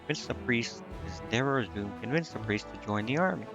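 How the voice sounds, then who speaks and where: A man's voice: mid-range voice, lively intonation, fast, creaky, lo-fi sound. A man doing casual live gameplay commentary, thinking aloud indecisively and reading game text out. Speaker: game streamer. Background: game music.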